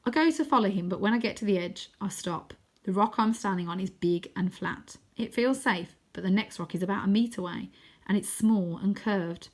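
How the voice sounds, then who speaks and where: A woman's voice: medium pitch, normal speed. Woman reading a children's adventure story. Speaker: storybook reader. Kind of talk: expressive children's audiobook narration.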